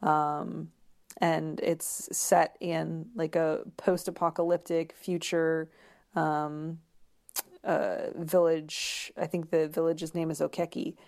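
A woman speaking as an interview guest in a conversational way, searching for words with many pauses and repeats. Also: sits low, little pitch movement, vocal fry, darkish tone.

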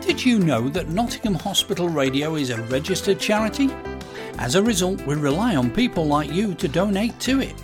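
A man's voice: high voice, very animated, fairly quick. Man reading an appeal over music. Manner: earnest, clearly read. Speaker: station announcer. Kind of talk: scripted charity appeal. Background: music bed.

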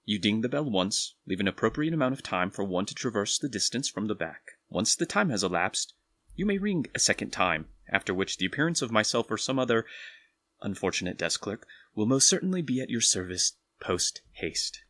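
Man playing low-voiced, fussy hotel clerk. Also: fairly quick. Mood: fussy, pained. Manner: formal, fussy, deadpan. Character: prim, put-upon, dryly officious male hotel clerk. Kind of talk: 1920s period audio drama.

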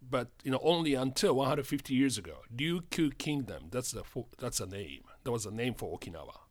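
A man's voice: mid-range voice, animated. Japanese-accented middle-aged man, hesitant guest, stop-start delivery. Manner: halting, many fillers and restarts. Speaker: guest, spirits importer. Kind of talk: casual studio chat.

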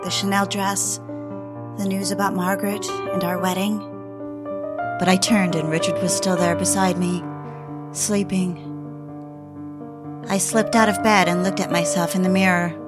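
Actress as dreamy young blonde in love, devoted, intimate audio drama delivery, music underneath. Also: female voice, fairly low pitch, flat delivery, a little slow, long pauses, lightly acted. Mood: dreamy, content. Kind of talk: intimate first-person audio drama narration and dialogue.

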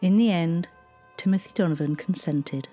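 A narrator giving scripted historical narration in a measured, solemn reading. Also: mid-range voice, warm tone, soft background music. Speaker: documentary narrator.

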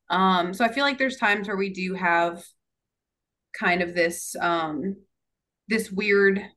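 A woman's voice: mid-range voice, fairly bright tone. A young woman talking casually as a podcast guest, chatty and emotional, with many fillers. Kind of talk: casual chat. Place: San Diego.

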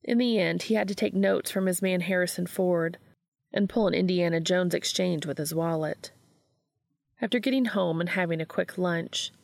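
A woman's voice: lowish voice, vocal fry, no echo, clean studio sound. A woman reading a story aloud as scripted narration. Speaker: audiobook reader of fan fiction.

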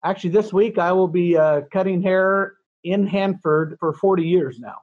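A man's voice: high voice, normal speed, no room sound, dull audio. A man in his sixties, a podcast guest, chatting casually and telling family stories with lots of restarts. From California, USA. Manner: chatty, self-deprecating, many restarts. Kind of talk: casual interview chat.

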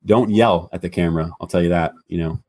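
A man with a loud voice speaking as a podcast guest, relaxed and hesitant, full of "you know"s. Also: low-pitched, fast. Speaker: guest, loan officer. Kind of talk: casual interview chat.

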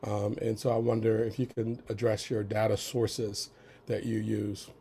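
A man who is under the weather, with a raspy voice, asking a careful, halting question in a webinar. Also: lowish voice, level tone, warm tone. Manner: halting, many ums, careful phrasing. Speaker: audience member asking a question.

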